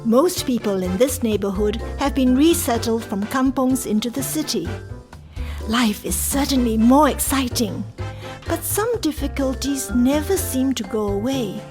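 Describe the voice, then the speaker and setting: High-voiced woman narrator over music. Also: lively intonation, unhurried. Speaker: radio play narrator. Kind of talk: scripted dramatic narration to listeners.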